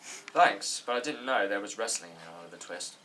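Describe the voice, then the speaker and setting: A man's voice: lowish voice, animated, moderate pace, pushed and bright. A man playing a naive, self-important young aspiring actor at an amateur table read, earnest and blunt. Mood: puzzled, blunt. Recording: distant mic with background noise.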